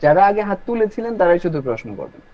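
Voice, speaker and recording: A man's voice: high-pitched, sing-song, rapid-fire. A man hosting an online webinar, explaining things instructively and addressing listeners directly, in lo-fi call audio. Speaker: webinar host and presenter. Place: online video meeting.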